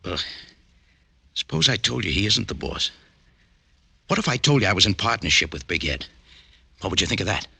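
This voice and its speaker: Proud, uneasy foreman, piercingly bright voice with wide swings, defensive manner, vintage radio crime drama. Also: lowish voice, big pitch swings, a little fast, creaky, pauses often, slightly rough, heavily acted. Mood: defensive pride. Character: uneasy, touchy, proud factory foreman, a man. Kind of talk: 1950s radio drama acting.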